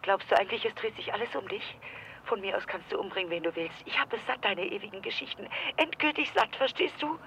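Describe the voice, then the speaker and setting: A woman's voice: medium pitch, lively intonation, fast talker, pushed and bright. A woman acting in a German radio drama as an anxious, fed-up ex-wife, agitated and accusing over a telephone. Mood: furious, fed up. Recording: noisy, band-limited telephone line.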